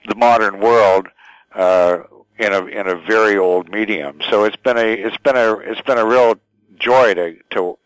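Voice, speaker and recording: Low-voiced older man, halting. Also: no echo, poor audio. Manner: halting, thoughtful, repeats words. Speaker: radio station general manager, interview guest. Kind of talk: conversational interview answers.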